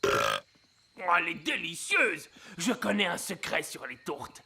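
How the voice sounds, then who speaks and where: A man's voice: on the high side, wildly swooping pitch, slow pace, creaky, background noise, full character voice. A man acting a chatty, oblivious freeloading king in a French cartoon dub, rambling and self-satisfied. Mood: chatty, pleased.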